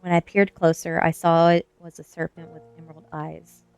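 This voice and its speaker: A woman's voice: low voice, flat delivery, moderate pace, clear, resonant tone, soft background music. A woman reading a first-person novel aloud as ASMR storytelling.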